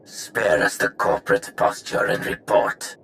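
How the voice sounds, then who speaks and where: An actor playing a cold, disdainful conspirator with measured delivery in a video game. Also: male voice, fairly low pitch, lively intonation, fairly bright tone, a little hiss or hum. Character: cold, haughty male conspirator, dry and impatient.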